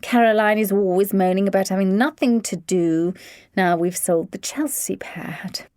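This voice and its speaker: A woman's voice: medium pitch, moderate pace, a little mellow, no echo, clean studio sound, close to an everyday voice. A woman voicing a posh, gracious upper-class lady who speaks in a languid, affected way.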